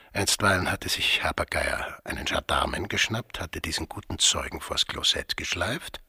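An actor playing a brooding man in a husky, half-whispered interior monologue in a radio drama. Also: deep voice, bright, forceful tone, no room sound, clean studio sound, exaggerated voice. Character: brooding man secretly piecing together a murder.

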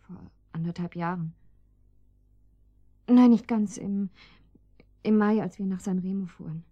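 A mellow, dark-toned housewife played by a woman in a radio drama. She is anxious and naive, with a halting, defensive delivery. The sound is very dry and intimate. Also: medium pitch, dry recording, muffled recording, natural voice. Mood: wistful, halting. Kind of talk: German radio drama acting.

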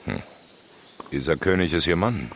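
An actor playing a dry, unimpressed newspaper crime reporter in a German radio drama, understated and probing. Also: male voice, sits low, animated, moderate pace, a little hiss or hum.

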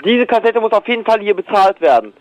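High-voiced, insistent man on the phone. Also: very animated, fast talker, muffled recording. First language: Turkish. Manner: insistent, repeats himself. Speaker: video rental shop worker. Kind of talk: unscripted phone conversation.

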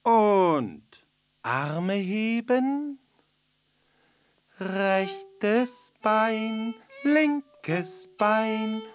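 An actress playing a small, excitable, courteous beetle in a children's radio play, flustered and exclamatory. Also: female voice, mid-range voice, extremely slow, faint music behind. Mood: chanting, instructing. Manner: flustered, stammering at times, exclamatory. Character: excitable, courteous little cockchafer with a missing leg, fiddler.

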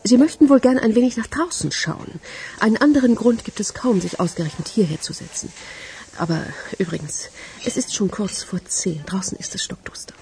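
An actress in a radio crime drama playing an aloof, dry-witted woman with a deadpan, cutting delivery. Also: mid-range voice, brisk, a little hiss or hum, natural voice. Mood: cool, dismissive. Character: aloof, dry-witted older woman, self-deprecating and unimpressed.

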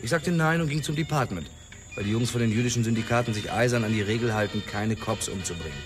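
An actor playing a blunt, cocky, streetwise ex-boxer cop in a radio drama. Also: male voice, lowish voice, fast, natural voice. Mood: dry, matter-of-fact. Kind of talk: radio drama dialogue acting. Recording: slightly distant, music behind. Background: music.

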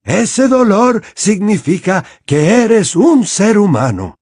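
Casting: very old wizard headmaster, put on by a male narrator, breathy, unhurried, gently insistent. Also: high voice, sing-song, no room sound, studio quality, voice pushed up, heavily acted. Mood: serene. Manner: slow, serene, gently insistent. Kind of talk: audiobook character voice.

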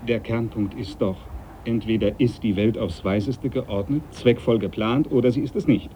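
Actor playing a devout, irritable aristocratic sea captain. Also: male voice, lowish voice, moderate pace, dark tone, a little hiss or hum, lightly acted. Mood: irritated. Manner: dogmatic, formal, easily affronted. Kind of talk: radio drama acting.

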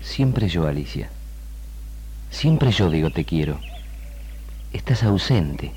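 An actor with an Argentine accent playing a tender, needy lover in a 1960s radio drama, intimate and hushed. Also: male voice, sits low, sing-song, takes it slowly, a little hiss or hum, exaggerated voice. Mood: tender, yearning. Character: tender, needy lover afraid of losing his woman. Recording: old radio drama, music behind.

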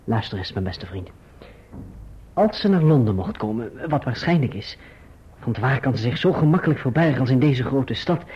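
Earnest young gentleman, husky voice. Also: medium pitch, lively intonation, moderate pace. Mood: reassuring. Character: David Copperfield, earnest, courteous young gentleman and writer. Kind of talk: Dutch radio play acting with first-person narration. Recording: muffled old radio recording.

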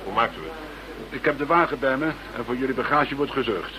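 An actor playing a genial, businesslike Dutch chief police inspector in a radio drama. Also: male voice, medium pitch, brisk, a crowd in the background, close to an everyday voice, low-quality recording. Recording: faint background noise, old radio play.

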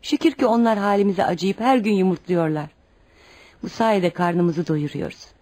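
A woman's voice: medium pitch, moderate pace, darkish tone, faint music behind, natural voice. An actress in a radio play playing a poor, devout widowed mother, protective and anxious, who speaks in an earnest, instructive way. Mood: worried, resigned. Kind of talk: radio theater acting.

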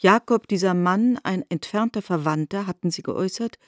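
A woman performing as a composed narrator in a literary radio drama, speaking in a measured, formal and deliberate way. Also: medium pitch, dry recording, clean studio sound, natural voice.

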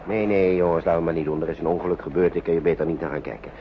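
An actor playing a kindly, worried uncle in a wartime radio play, talking to children in a soothing, coaxing, hesitant way. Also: male voice, deep voice, fairly even intonation, racing. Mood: gentle, soothing. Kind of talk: Dutch radio play acting. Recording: muffled, noisy old radio recording.

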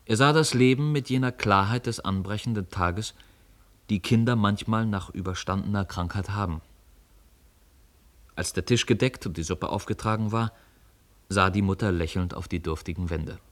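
A man narrating a literary radio play in a measured storytelling manner. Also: fairly low pitch, a bit bright.

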